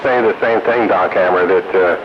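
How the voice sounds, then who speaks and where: Deep-voiced man on noisy CB radio. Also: moderate pace. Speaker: host of a CB radio hobbyist roundup. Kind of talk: casual radio chat. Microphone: CB radio microphone. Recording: noisy, dull CB radio transmission.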